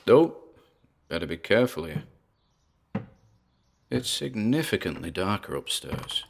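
An actor playing a nervous, driven paranormal investigator who records himself alone in an audio drama, talking haltingly to his listeners in an apprehensive, gravelly voice. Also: fairly low pitch, sing-song, a little hiss or hum, exaggerated voice.

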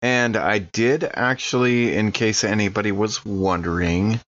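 A man's voice: on the low side, steady tone, a little slow, a bit bright. A man who is a player in a tabletop game, talking casually and describing his character's actions, on a somewhat lo-fi microphone.

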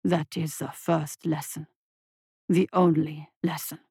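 A woman voicing an ancient, cruel male tortoise monster who rumbles and growls in slow, dismissive pronouncements for a fantasy story. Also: little pitch movement, mellow, slightly rough, no room sound, clean studio sound, exaggerated voice. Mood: stern, commanding.

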